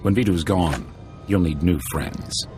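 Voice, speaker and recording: A very low-pitched bullying goon in a space adventure game. He has a leering, thuggish way of talking, with faint music underneath. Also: a little slow. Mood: menacing taunts. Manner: taunting. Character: bullying, leering male thug. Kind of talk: video game voice acting.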